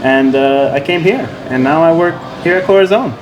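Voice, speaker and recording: A male winemaker co-hosting a podcast, telling his story in a relaxed, rambling way with many fillers. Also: on the high side, normal speed. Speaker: winemaker and co-host. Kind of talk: casual podcast chat. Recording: steady background noise.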